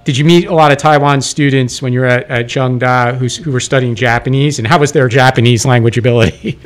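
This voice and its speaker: A man's voice: medium pitch, fairly even intonation, quick pace. A man hosting a radio interview, asking chatty, teasing questions. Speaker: radio host. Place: radio studio.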